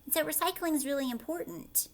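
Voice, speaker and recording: A woman's voice: sits high, quick pace. A woman teacher doing a children's read-aloud, adding chatty explanations in simple words and often checking in with short questions. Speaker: teacher reading a picture book aloud to children.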